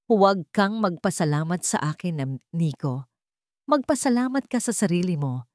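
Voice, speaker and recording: A woman with a Filipino accent voicing a kind, dignified male company chairman in a dramatic story, earnest and measured. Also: lowish voice, lively intonation, darkish tone, dry recording, clean studio sound, lightly acted. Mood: earnest, grateful.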